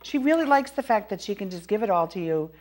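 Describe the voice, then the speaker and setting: A chatty middle-aged woman with a Boston accent hosts a local interview show. She talks fast and restarts her sentences often, at a medium pitch for a woman. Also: lively intonation, a little mellow. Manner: chatty, quick-talking, many restarts. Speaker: talk show host.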